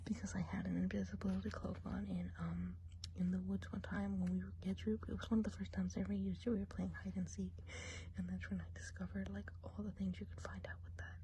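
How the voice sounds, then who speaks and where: A woman playing a chatty, gossipy woodland witch in an ASMR role play, speaking in a soft, breathy whisper and rambling with fillers. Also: medium pitch, little pitch movement, quick pace, a little hiss or hum, lightly acted, rough recording. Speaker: eye examiner.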